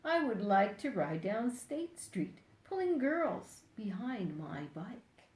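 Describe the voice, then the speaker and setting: Slow-paced older woman reading a storybook. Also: fairly high pitch, big pitch swings, a little mellow, echoey room. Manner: deliberate, playful, addresses young listeners directly. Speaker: storyteller reading a children's book aloud. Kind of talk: expressive picture-book read-aloud with asides.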